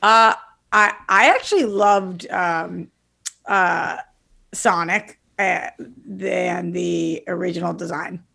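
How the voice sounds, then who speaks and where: Female podcast co-host, unhurried casual delivery, strong vocal fry. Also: lowish voice, fairly even intonation, bright, forceful tone. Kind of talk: casual chat.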